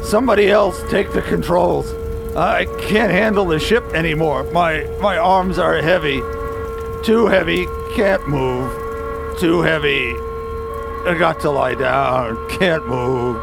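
Man playing a gravelly, hot-tempered pilot. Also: on the high side, takes it slowly, over music. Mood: straining. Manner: blunt, grumbling, melodramatic. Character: gruff, stubborn, hot-tempered male pilot.

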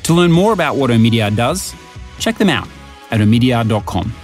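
A man reads podcast narration from a script with an animated, rising and falling delivery. A faint guitar bed plays under his voice. He stresses words emphatically. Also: mid-range voice, fairly quick.

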